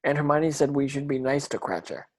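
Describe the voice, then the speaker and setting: A troubled teenage boy wizard, read by a man with a medium-pitched voice. He speaks plainly and conversationally, distrustful and quick to challenge, as in a drama table read. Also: animated, lightly acted. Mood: questioning. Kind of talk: dramatic script read-through.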